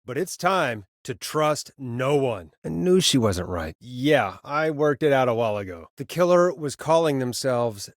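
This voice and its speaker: Animated, harried late-night radio DJ. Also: male voice, mid-range voice, unhurried, hardly any pauses, dry recording, clean studio sound. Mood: uneasy, wry. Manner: hesitant, stammering, reassuring, wry. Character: harried but kind late-night radio DJ taking emergency calls. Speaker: radio host. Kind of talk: video game voice acting, conversational radio patter.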